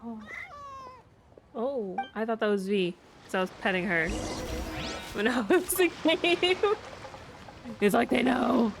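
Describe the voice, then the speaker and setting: A woman's voice: fairly high pitch, slow pace, creaky, long pauses. A woman livestreaming a game, chatting casually in a playful, rambling way. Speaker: VTuber game streamer. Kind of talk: casual livestream chat while gaming. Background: loud game music.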